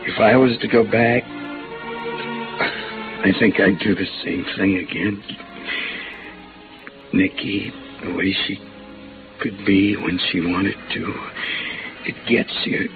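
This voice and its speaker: Mortally hurt man, American: weak, halting, slow, bitterly resigned. Vintage radio noir with music underneath. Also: on the low side, steady tone. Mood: wistful, resigned. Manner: halting, broken phrases. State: weak, labored, fading breath. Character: badly injured, dying man, bitter and lovesick, resigned. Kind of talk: 1950s radio noir acting. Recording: dull vintage radio recording, prominent music.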